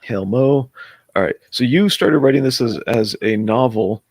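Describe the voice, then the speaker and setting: A man's voice: medium pitch, normal speed, vocal fry, darkish tone, no echo, studio quality. A man hosting a livestream, chatting casually and rambling as he breaks off to greet viewers and put questions to his guest. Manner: rambling, breaks off to read out chat messages. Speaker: host of a comics chat livestream.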